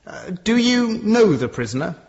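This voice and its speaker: An actor playing a hesitant, formal Victorian lawyer, questioning in a British radio drama. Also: male voice, high-pitched, big pitch swings, a little mellow. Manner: formal, halting. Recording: muffled, noisy, clicking lo-fi recording.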